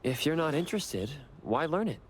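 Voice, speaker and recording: Terse cool young anime game hero, swingy mid voice, slight bg noise. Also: male voice, a little high, sing-song, normal speed. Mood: blunt.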